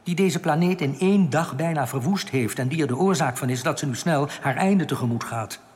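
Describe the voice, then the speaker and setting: A man playing a grave underground rebel informant in a radio drama, speaking deliberately and cryptically. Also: a little high, a little mellow, natural voice. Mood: grave, explaining. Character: solemn, cryptic underground rebel informant, male, urgently warning. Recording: long cavernous reverb.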